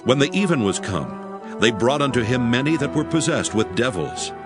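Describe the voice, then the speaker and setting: A man narrates scripture as a solemn, dramatic story. His mid-pitched voice moves with lively intonation through archaic phrasing, with music clearly behind it. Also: normal speed.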